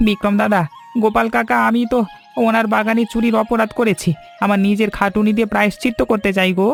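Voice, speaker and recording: A man puts on a humble, earnest young villager for a cartoon. The voice is high and gravelly, rattling along on a level tone. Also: level tone, fast talker. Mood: eager, remorseful. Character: remorseful, eager young villager, reformed thief. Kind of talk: Bengali cartoon story voice acting. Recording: music behind the voice. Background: music.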